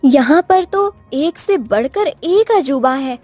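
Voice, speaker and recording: A woman's voice: high voice, animated, slow pace, a little mellow, dry recording, faint music behind, voice pushed up. A woman voicing an eager, easily surprised young village wife in a cartoon story, full of exclamations and questions. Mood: amazed. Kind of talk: cartoon moral story acting.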